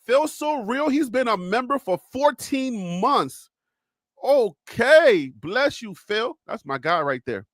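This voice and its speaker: A man's voice: extremely high pitch, sing-song, takes it slowly, a bit bright, no room sound, clean studio sound. A man with a Liberian accent hosting a solo sports livestream, joking and repeating himself as he talks to his chat. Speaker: sports show host.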